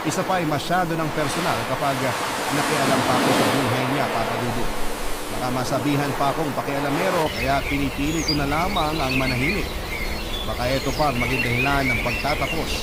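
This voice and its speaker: A man's voice: on the high side, heavy background noise, natural voice. A man playing a humble, loyal young man who confides his story as scripted narration in a Filipino radio drama. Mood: troubled, humble. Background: loud music bed.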